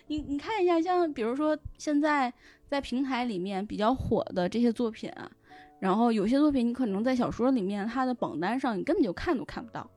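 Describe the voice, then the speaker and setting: High-pitched woman explaining in casual discussion. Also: fairly quick, darkish tone, faint music behind. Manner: explanatory, illustrates points with examples and imagined reader voices. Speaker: guest, web fiction editor.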